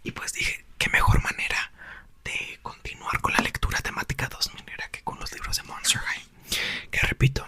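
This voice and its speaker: A young man, whispering softly into a close mic as he chats about a book for ASMR. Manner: soft whisper throughout. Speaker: ASMR creator. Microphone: small mic clipped to a doll's hair.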